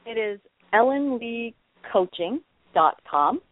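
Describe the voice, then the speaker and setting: A woman's voice: a little high, lively intonation, takes it slowly, dry recording. A woman improv actor and coach, a guest on a phone interview, talking animatedly and restarting her words. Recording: dry, narrow telephone line.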